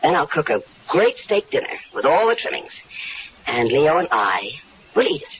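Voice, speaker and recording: A woman's voice: low-pitched, big pitch swings, normal speed. An actress playing a scheming wife who coolly plots her husband's murder in a 1970s radio drama, with a coaxing, confident, sweetly calculating manner. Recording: dull old radio broadcast with faint hiss.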